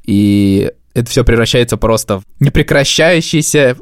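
A man's voice: medium pitch, expressive, normal speed, no room sound, studio quality. A man talking casually and colloquially, thinking aloud as a podcast co-host. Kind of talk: casual conversational discussion.

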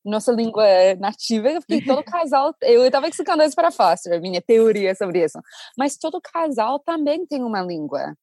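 A fairly high-pitched woman chats away in Portuguese, her being English. She talks in an animated, easygoing way and keeps checking in with little tag questions. The recording is close and dry. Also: normal speed, dry recording. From United States. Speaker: Portuguese teacher and host. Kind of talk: casual conversation.

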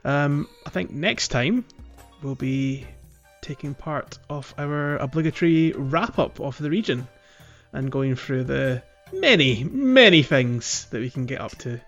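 A man's voice: mid-range voice, expressive, moderate pace, a bit bright. A man co-hosting a podcast in casual, informal chat with some jokey banter. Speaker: podcast co-host. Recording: slightly dull audio over music. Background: music.

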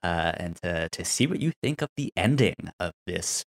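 A man co-hosting a casual TV recap discussion, halting and stammering over repeated sounds and words. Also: sits low, animated. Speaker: podcast co-host.

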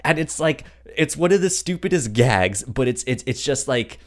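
A man's voice: medium pitch, lively intonation, normal speed. A man chatting casually as a podcast co-host, amused and enthusiastic, often stammering and repeating words. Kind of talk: casual chat, fan discussion of a TV show.

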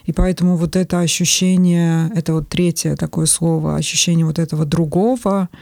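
A woman in her forties from Estonia, talking in a thoughtful, measured way with many pauses on a podcast. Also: lowish voice, steady tone, creaky, a little mellow, no echo, clean studio sound. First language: Russian. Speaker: podcast guest. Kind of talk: reflective podcast conversation.

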